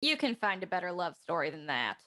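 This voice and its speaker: A woman's voice: on the low side, big pitch swings, a little fast, sharp tone. A woman playing a blunt, tough, wry young woman in a naturalistic audio drama. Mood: defiant, biting.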